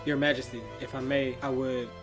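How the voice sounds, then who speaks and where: A man's voice: mid-range voice, flat delivery, a little fast, voice pushed up, natural voice. A man voicing a loyal, deferential knight and protector with a pleading manner in a fairy tale. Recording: background music behind the voice.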